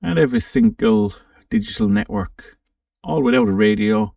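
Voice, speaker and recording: A man giving a casual, unscripted software walkthrough, thinking aloud with some hesitation as he presents. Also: mid-range voice, sing-song, moderate pace, gravelly, studio quality. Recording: roomy, slightly distant microphone.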